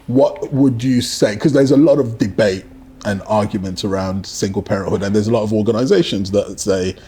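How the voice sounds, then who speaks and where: A man's voice: on the low side, a little fast, warm tone, slightly rough. A man hosting a podcast discussion, asking questions and rephrasing them as he talks. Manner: probing, rephrases questions while speaking. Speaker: podcast host. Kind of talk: casual discussion.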